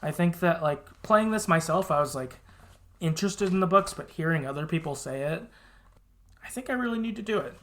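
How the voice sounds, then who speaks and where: A man's voice: fairly high pitch, lively intonation, fairly quick. A man hosting a podcast in a casual, rambling and agreeable chat style with some hesitation. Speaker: podcast host.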